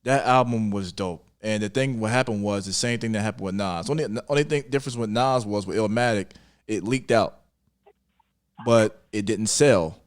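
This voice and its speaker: A man's voice: fairly low pitch, moderate pace. Insistent man debating casually. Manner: insistent, repeats himself, slangy. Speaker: podcast host. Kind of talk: casual debate about hip hop.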